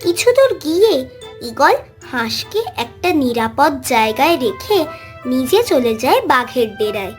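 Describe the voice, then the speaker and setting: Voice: high-pitched, big pitch swings, a little slow, soft background music. A storyteller giving scripted narration for a children's cartoon tale.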